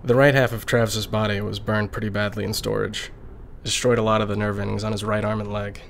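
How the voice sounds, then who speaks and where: A man's voice: lowish voice, flat delivery, fast talker, gravelly, fairly bright tone, slight background noise. A man acting in an audio drama as a protective, placating spaceship crewmate with an earnest, conciliatory manner. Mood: concerned, earnest.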